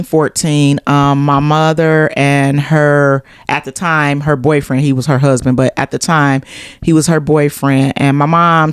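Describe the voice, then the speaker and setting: Middle-aged American woman, interview guest, smooth resonant voice, casual storytelling, dry recording. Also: low-pitched, no room sound. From Cleveland, USA.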